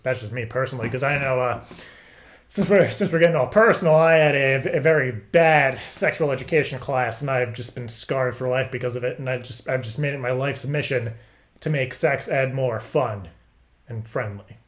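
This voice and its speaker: A man with a medium-pitched voice talks through a casual interview-style call. He rambles, stammering and halting as he works toward each point. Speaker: prank caller. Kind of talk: casual phone conversation posing as interviewer.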